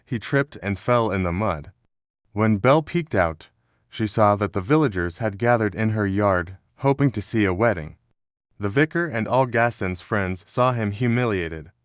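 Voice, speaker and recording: A man's voice: on the low side, dry recording, clean studio sound. A man reading scripted narration as the narrator of a children's storybook.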